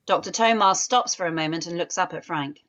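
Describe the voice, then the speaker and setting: Woman narrator, slightly low pitch, measured, descriptive, reading script directions, table read. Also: fairly bright tone, lo-fi sound. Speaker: reader of stage directions.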